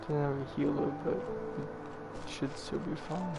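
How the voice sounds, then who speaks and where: A young man chats casually as he plays a game, halting and mumbly. His voice is fairly high with a creaky edge, and music plays clearly behind him. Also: steady tone, poor audio. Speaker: game streamer. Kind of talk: casual gameplay commentary.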